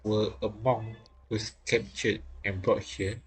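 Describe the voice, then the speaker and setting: A man streaming a visual novel, reading its dialogue aloud haltingly with casual reactions. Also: on the low side, level tone, husky. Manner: halting, repeats phrases as he reads. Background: game music.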